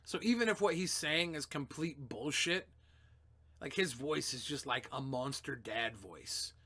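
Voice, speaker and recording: A young man hosting a casual livestream, answering chat questions in a loose, profane way that swings between mumbling and loud outbursts. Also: medium pitch, lively intonation, unhurried, husky.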